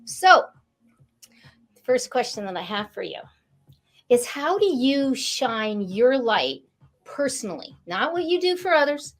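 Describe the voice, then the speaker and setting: A woman's voice: sits high, very animated, measured pace, long pauses. A woman hosting an online interview over a video call, gushing and enthusiastic, often repeating herself. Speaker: host. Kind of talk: upbeat online interview chat.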